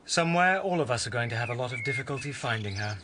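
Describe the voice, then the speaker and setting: A male actor as a sardonic man guarding what he knows. He speaks in a mid-pitched voice with dry mockery, radio drama style, with birdsong and noise clearly behind. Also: lively intonation, quick pace, close to an everyday voice. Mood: dry, defiant. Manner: dry, mocking. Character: sardonic, guarded husband stonewalling the police.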